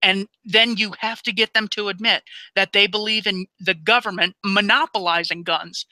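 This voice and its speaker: A man's voice: high voice, fairly even intonation, normal speed, sharp tone, dry recording. A man hosting an interview, stumbling on restarts at times but speaking emphatically and with firm opinions. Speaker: interview host. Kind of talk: casual interview conversation.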